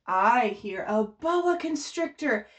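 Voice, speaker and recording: A woman's voice: a little high, expressive, slow. A woman leading a storytime for babies as a children's librarian, reading in a sing-song way and speaking simply and encouragingly to little ones and their parents. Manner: encouraging, exclaiming, simple child-directed speech. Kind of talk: sing-song picture book read-aloud and coaching for babies and parents.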